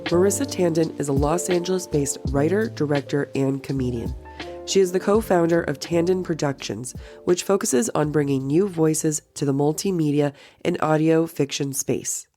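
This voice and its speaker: A woman's voice: low voice, moderate pace, warm tone, faint music behind. A woman podcast host who moves between scripted introductions and casual chat.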